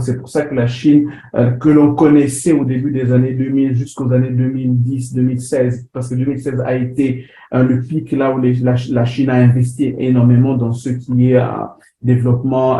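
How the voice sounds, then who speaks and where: Halting man giving a webinar talk. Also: medium pitch, flat delivery, mellow. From DR Congo. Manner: hesitant, many fillers and restarts. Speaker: webinar presenter, editor. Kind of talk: online lecture presentation. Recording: roomy sound with faint background noise.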